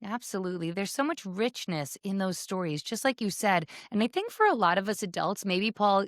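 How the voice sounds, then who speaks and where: A woman's voice: medium pitch, big pitch swings, a little fast, no room sound, clean studio sound. A woman hosting a podcast in a conversational style, speaking earnestly and affirming her guest. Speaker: podcast host. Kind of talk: conversational interviewing.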